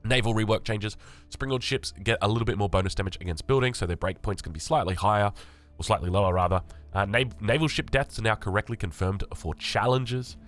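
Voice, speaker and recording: A young Australian man with a raspy voice, sick and just woken up, chatting casually as he reads through game patch notes. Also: lowish voice, fairly quick, fairly bright tone, soft background music. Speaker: gaming channel host.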